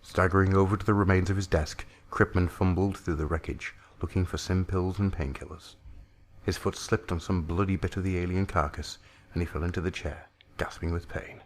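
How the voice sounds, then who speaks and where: Low, creaky-voiced male storyteller. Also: steady tone, brisk. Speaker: narrator. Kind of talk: dramatic fan audiobook reading of science-fiction horror.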